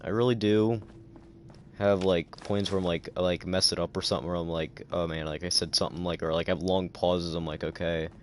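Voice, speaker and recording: A tired male streamer with a very deep, low voice, rambling along with many fillers and a dry throat. Faint noise sits behind him. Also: steady tone, fairly quick. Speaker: livestreamer. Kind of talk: casual livestream chat.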